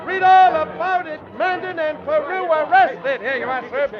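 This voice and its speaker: An actor playing a young newsboy hawking papers with sing-song shouts in an old radio drama. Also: male voice, very high-pitched, moderate pace. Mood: hawking loudly. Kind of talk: 1940s radio acting. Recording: muffled old radio recording. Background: music and street crowd.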